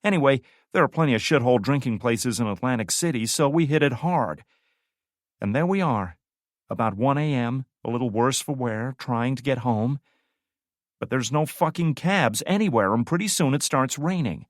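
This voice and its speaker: A man's voice: mid-range voice, animated, moderate pace, no room sound, studio quality, voice pushed up, natural voice. A man voicing a brash, foul-mouthed young woman soldier who tells a story with boisterous, swearing glee. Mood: gleeful telling.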